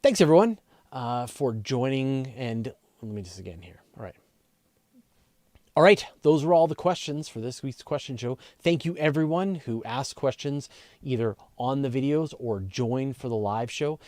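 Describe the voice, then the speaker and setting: A man with a relaxed, dark-toned voice hosts a casual live question show. He explains things conversationally, working through his thoughts out loud. The sound is dry, with very little room around him. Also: mid-range voice, moderate pace, no echo. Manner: conversational, explanatory, thinks aloud. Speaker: host of a space and astronomy question show.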